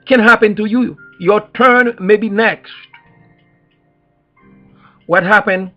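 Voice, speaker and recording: A high-voiced man with a Haitian accent delivers an activist's public address like a preacher. He hammers phrases through repetition and pointed rhetorical questions. The recording is dull and lo-fi, with faint music underneath. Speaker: activist speaker.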